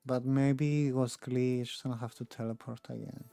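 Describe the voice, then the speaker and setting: A woman giving casual gameplay commentary as a streamer, with a creaky voice and music behind her. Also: rumbling low, fairly even intonation, moderate pace, warm tone, dry recording.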